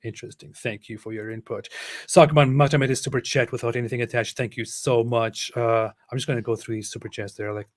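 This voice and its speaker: A man's voice: mid-range voice, fairly even intonation, fast, dry recording, clean studio sound. A man hosting a casual livestream, talking in a rambling, sarcastic way with many fillers and restarts. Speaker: livestream host reading super chats.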